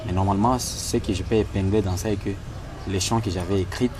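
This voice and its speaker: A man's voice: sits low, steady tone, brisk, noisy background. A man from Kisangani, a gospel singer, answering interview questions earnestly and often thanking God. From Congo, Kisangani. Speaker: gospel singer and worship leader.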